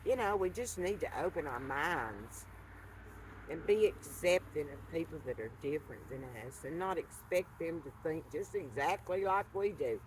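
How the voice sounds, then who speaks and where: A woman, talking earnestly to a camera by an outdoor fire pit, soft-spoken and halting. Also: deep voice, expressive, a little hiss or hum, rough recording. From rural Appalachian North Carolina. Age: 70s. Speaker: vlogger. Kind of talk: reflective monologue to camera.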